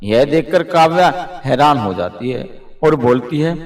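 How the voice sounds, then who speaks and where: Echoey male storybook narrator, higher-pitched. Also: animated, a little slow. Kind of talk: scripted children's story narration.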